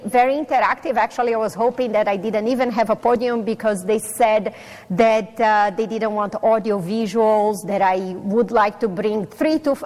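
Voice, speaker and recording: Brazilian woman speaking in a hall. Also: on the high side. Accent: Brazilian Portuguese. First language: Portuguese. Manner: chatty, self-deprecating, many fillers. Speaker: conference speaker. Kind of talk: personal talk to a live audience. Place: conference hall. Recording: long reverb of a hall.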